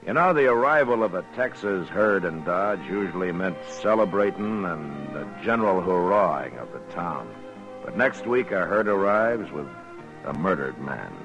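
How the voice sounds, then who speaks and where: Weary old-west american lawman, real low voice, terse, muffled old radio show with music behind. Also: lively intonation. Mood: dry. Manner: terse, grave, deliberate. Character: stern, steady frontier US Marshal, weary and plainspoken. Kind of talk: 1950s radio western acting and narration.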